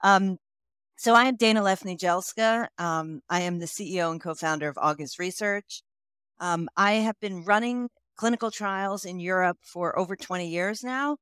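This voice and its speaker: An American woman in her forties speaks in a lowish, smooth voice. She talks as a guest on a podcast, careful and measured, filling pauses with soft "um"s. The sound is close and dry. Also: dry recording. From United States. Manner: measured, many ums while thinking. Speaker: CEO guest. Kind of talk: podcast interview.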